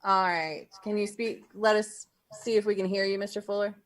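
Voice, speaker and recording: A woman's voice: mid-range voice, level tone, moderate pace, dry recording. A woman working as staff at a public board meeting, reading out items and talking informally through procedure. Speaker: city zoning board staff member.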